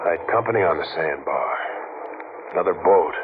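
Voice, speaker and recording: An actor playing a wary, stubborn adventurer in a 1940s radio thriller, terse and hard-boiled in narration and dialogue. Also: male voice, rumbling low, lively intonation, brisk. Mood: grim narration. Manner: terse, tough, suspicious. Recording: tinny, noisy 1940s radio recording.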